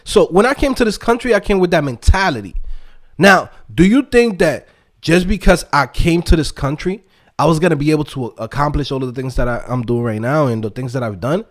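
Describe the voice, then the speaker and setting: A man's voice: a little high, expressive, brisk. A man from the Dominican Republic giving a casual motivational rant as an online host and coach, emphatic and streetwise, with lots of slang and tag questions. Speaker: YouTube host and developer coach.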